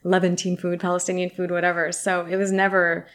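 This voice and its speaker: Chatty woman, lowish voice. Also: monotone, a little fast, a bit bright, smooth. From San Francisco, USA. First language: Arabic. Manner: chatty, many likes and you-knows. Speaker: guest. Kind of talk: casual interview conversation.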